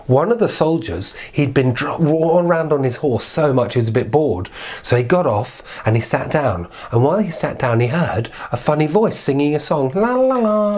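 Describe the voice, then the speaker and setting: Guy telling kids a fairy tale, normal pitched voice, chatty and playful, making it up a bit. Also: a little mellow, husky. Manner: playful, conversational, with emphatic repetition. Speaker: children's storyteller. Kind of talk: informal, improvised fairy-tale storytelling.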